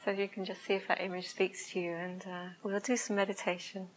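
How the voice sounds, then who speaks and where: A woman with a quiet, soft voice leads a group through a reflective meditation talk. She speaks slowly and tentatively, often pausing to find her words before guiding the listeners inward. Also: mid-range voice, level tone, rough recording. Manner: slow, hesitant, searching for words. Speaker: meditation teacher.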